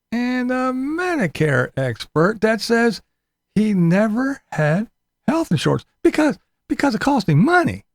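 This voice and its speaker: Sarcastic video commentator with gravelly fry and big pitch swings, recorded close and dry. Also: no echo. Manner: sarcastic, mocking. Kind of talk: mocking video commentary about another vlogger.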